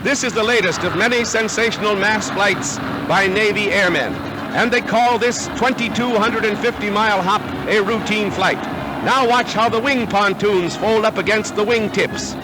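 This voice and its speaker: A man's voice: high-pitched, moderate pace, heavy background noise. An American man delivering scripted 1940s newsreel narration in a dramatic, punchy announcer manner, on a vintage film soundtrack. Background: music.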